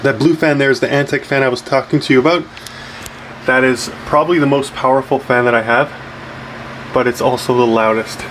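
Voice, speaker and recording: Man giving casual, halting tech commentary. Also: medium pitch, steady tone, fairly bright tone, a little hiss or hum, low-quality recording. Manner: informal, halting, self-correcting explanations. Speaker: tech vlogger. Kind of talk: casual hands-on PC build commentary.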